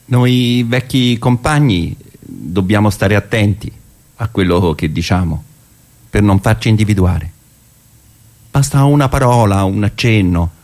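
A wary old comrade of the left, played by a man, speaking in a fairly low voice. He delivers a theatrical monologue with deliberate phrasing, weighted pauses and dry irony. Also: animated, darkish tone. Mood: wary, ironic. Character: wry, wary old leftist militant, male.

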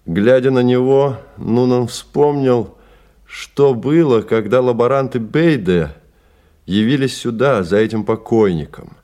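An actor narrating a bleak science-fiction radio play as a grave, measured, dramatic literary storyteller. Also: male voice, medium pitch, dark tone, close to an everyday voice.

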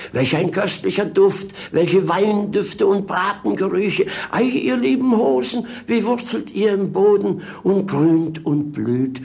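A man's voice: on the high side, gravelly, breathy edge, exaggerated voice. An actor in a 1950s German radio drama playing a jolly, wine-loving, mocking servant, theatrical and savouring his words. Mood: rapturous.

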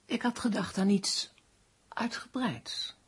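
An actress in a radio drama playing a tense, sharp-tongued crime novelist who is haughty and demanding. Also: female voice, medium pitch, slow, husky, whispered. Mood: cool, brisk.

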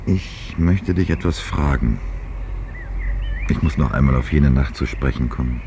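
An actor playing an authoritative investigator in a science-fiction radio play, explaining and questioning in a measured, insistent way. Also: male voice, rumbling low, animated, gravelly, dark tone, exaggerated voice. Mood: insistent, probing. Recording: dull, slightly noisy 1970s radio recording.

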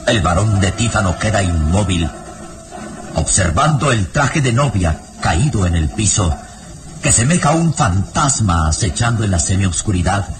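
A man's voice: fairly low pitch, lively intonation, moderate pace, background noise. A man narrating a radio drama in an ominous storytelling manner. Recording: radio drama with music bed.

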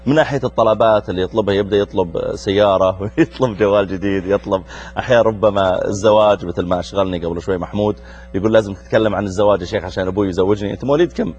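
A man's voice: on the low side, rapid-fire, darkish tone, husky, lo-fi sound. A middle-aged man from Arabia, a preacher hosting a youth show who talks to a young audience and asks them questions. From Saudi Arabia. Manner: engaging, addresses listeners directly, asks them questions. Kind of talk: lively talk to a young audience.

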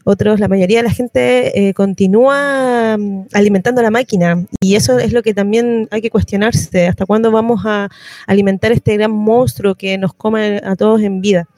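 A Chilean radio host with a dark, relaxed tone and medium pitch. The talk is casual and reflective, sprinkled with slang. The sound is close and dry. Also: moderate pace, no echo. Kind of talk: casual reflective interview chat.

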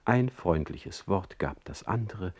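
Radio drama: a middle-aged exiled poet, lowish voice, sardonic wit, ornate and mocking delivery. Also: male voice, expressive, takes it slowly, a little mellow, breathy edge, heavily acted. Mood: wry, dictating. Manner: mocking, eloquent, ornate irony. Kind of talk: radio play acting with letter narration.

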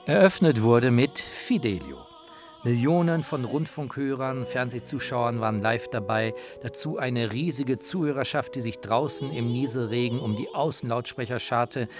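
A man's voice: medium pitch, normal speed, music in the background. Man narrating a radio documentary. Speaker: presenter and narrator.

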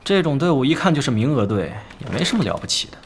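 A man's voice: mid-range voice, big pitch swings, quick pace, a little hiss or hum. An actor playing a cocky, dismissive male star player who scoffs at his rivals in an audio drama. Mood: arrogant.

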